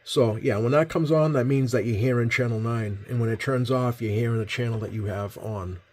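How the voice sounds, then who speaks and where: A man's voice: mid-range voice, fairly quick. A man giving a casual, hands-on demonstration as he hosts at a radio workbench. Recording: clear background noise.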